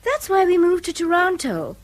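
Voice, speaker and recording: An actress playing a wealthy, outspoken North American wife in a British radio drama, effusive, chatty and socially confident. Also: female voice, very high voice, wildly swooping pitch, normal speed, exaggerated voice. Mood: chatty, breezy. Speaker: actor in a radio play.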